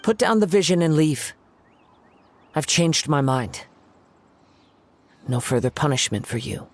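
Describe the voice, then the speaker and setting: An actor playing a calm, melancholy young wandering samurai in a video game, measured and soft-spoken with poetic phrasing. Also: male voice, fairly high pitch, no room sound. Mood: solemn. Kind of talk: scripted video game voice acting.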